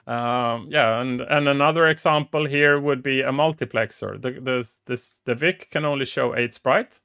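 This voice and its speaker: A middle-aged man, a native Swedish speaker, explains coding topics casually as a show host. His gravelly voice sits at an ordinary pitch. He talks with enthusiasm and stumbles through many restarts and fillers, close on a headset mic in a small room. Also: moderate pace, pushed and bright. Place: small dim room indoors.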